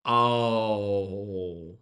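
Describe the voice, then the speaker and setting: A man chatting casually and informally to viewers on a livestream as a tutor, his voice slightly croaky and tired, speaking to them directly and thanking them. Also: lowish voice, extremely slow, a bit bright. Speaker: maths tutor live streamer.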